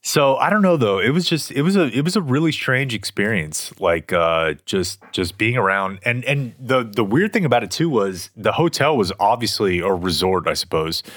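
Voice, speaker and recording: Low-voiced guy, super animated, loose and sweary, dead dry sound. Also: normal speed, few pauses, dry recording. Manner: loose, swearing, trailing restarts. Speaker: podcast co-host. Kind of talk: casual chat.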